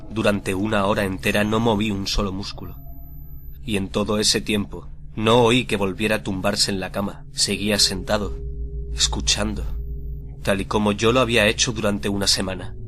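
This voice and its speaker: An actor playing a nervous, obsessive murderer confessing his crime in a theatrical, deliberate radio drama monologue. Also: fairly low pitch. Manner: theatrical, deliberate, swelling from hushed to frenzied. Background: music under the voice.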